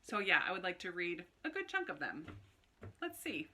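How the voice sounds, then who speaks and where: A woman's voice: fairly low pitch, huge pitch swings, normal speed, bright, forceful tone, a little hiss or hum. A woman talking casually and chattily to viewers as a book vlogger, with an informal manner. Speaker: book vlogger, channel host.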